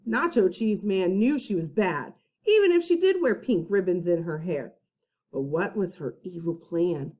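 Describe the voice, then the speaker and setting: A woman teacher reading a children's storybook aloud with dramatic emphasis, pausing to put questions to young listeners. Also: medium pitch, darkish tone. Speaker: first-grade teacher.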